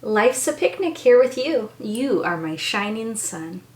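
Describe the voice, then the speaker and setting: An American woman reads to young children in a high, very animated voice. She takes her time and sounds bubbly and enthusiastic, like a teacher hosting storytime. The room adds a clear echo. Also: a little slow. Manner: bubbly, enthusiastic, exaggerated child-directed delivery.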